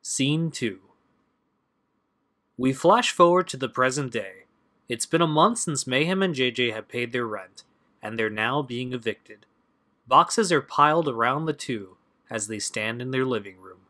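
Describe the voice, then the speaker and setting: Medium-pitched male audio drama narrator. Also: expressive, unhurried, pushed and bright.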